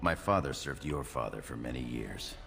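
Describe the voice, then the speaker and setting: An actor playing a loyal, earnest dwarf warrior in a fantasy game, speaking formally and deferentially. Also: male voice, a bass voice, lively intonation, normal speed, vocal fry, over music, full character voice. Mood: earnest, respectful.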